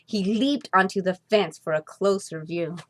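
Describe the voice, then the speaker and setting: A woman reads a children's story aloud in a mid-pitched voice. Her scripted narration is expressive and deliberate, leaning on key words. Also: a little hiss or hum. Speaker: storybook reader.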